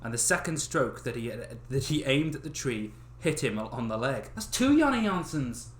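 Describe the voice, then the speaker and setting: A young man reading stories aloud on a livestream in a casual, expressive way, swearing and breaking off with joking asides. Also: medium pitch, normal speed. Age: young adult. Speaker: livestream host reading fairy tales aloud. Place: small bedroom. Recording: roomy echo of a small room.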